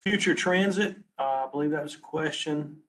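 A man presenting on a webinar as a transportation planner, losing his voice and talking haltingly, restarting his words. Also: fairly high pitch, poor audio. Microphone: video call.

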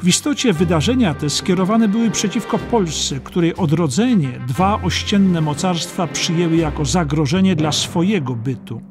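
Male audiobook narrator, high-pitched voice, scripted historical documentary style, music behind. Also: lively intonation, normal speed, hardly any pauses.

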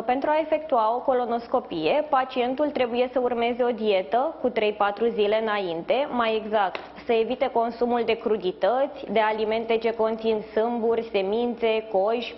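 A woman in her thirties, a doctor giving measured, formal explanations as a guest in a live news interview. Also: fairly high pitch, fairly even intonation. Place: indoors in a hospital, live video link. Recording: slightly dull sound, faint background noise.

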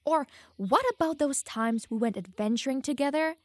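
A woman's voice: sits high, lively intonation, moderate pace, darkish tone, no room sound. A young woman playing a teasing, smug, secretly lovestruck elf mage in an audio roleplay, playful and easily flustered. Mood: playful, defensive. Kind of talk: audio roleplay addressed to the listener.

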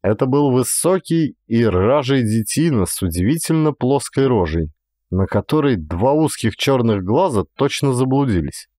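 A man reading a literary story as an expressive audiobook narration. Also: medium pitch, moderate pace, dry recording, clean studio sound.

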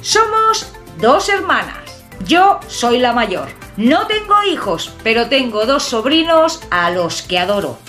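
A woman in her fifties from Catalonia, with a southern-sounding Spanish accent from an Extremaduran father, chatting to camera in a jokey, expressive way from a small room at home. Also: a little high, takes it slowly, faint music behind. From Catalonia, Spain. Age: 50s. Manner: chatty, expressive, jokey, lively hand gestures. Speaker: urban exploration vlogger. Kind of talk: personal talk to camera.

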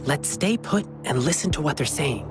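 A woman playing a youthful, confident adventurer in a video game, earnest and expressive. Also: low voice, normal speed. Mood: cautious. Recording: game music underneath.

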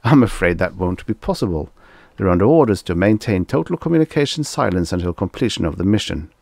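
A man's voice: low voice, fairly quick, creaky, no room sound, studio quality, full character voice. Man as an unflappable, bland junior diplomat. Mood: calmly polite. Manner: bland, calm, matter-of-fact. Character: unflappable, dryly polite male junior diplomat. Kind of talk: audiobook character voice, science fiction satire.

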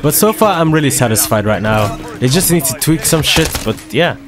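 A man giving excitable, slangy commentary over his own shooter gameplay, his voice a little hoarse from a dry throat. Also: fairly high pitch, fairly quick. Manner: excitable, slangy trash-talking banter. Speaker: gaming video creator. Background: loud background music and gunfire.

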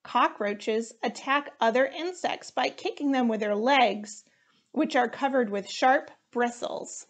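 Female storybook reader, thirties, higher-pitched, very measured pace, expressive delivery. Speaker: firefighter reading storybooks aloud. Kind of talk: children's storytime read-aloud.

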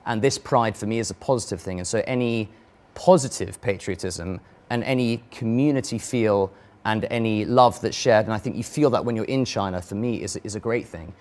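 A man's voice: fairly low pitch, a little fast, a little mellow. A young man with a London accent, speaking earnestly as a guest on a studio panel discussion. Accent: London English. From London, England. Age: young adult. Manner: earnest, reflective, gestures with hands. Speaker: vlogger and model, panel guest. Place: studio panel stage with audience.

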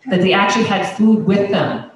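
A woman's voice: mid-range voice, moderate pace, far from the mic. A middle-aged woman pastor preaching a conversational sermon into a handheld microphone, often restarting her sentences. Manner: gestures broadly while speaking. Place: large wood-paneled church sanctuary. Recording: echoey church sanctuary, voice from afar.

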